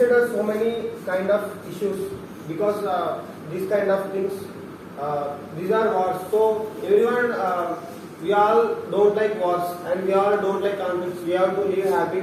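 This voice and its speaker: A young man with a Telugu-Indian accent, a philosophy student giving a seminar talk, halting with many restarts and fillers. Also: high-pitched. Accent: Indian English, Telugu speaker. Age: young adult. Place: seminar room. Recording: echoey room, mic far off, noisy.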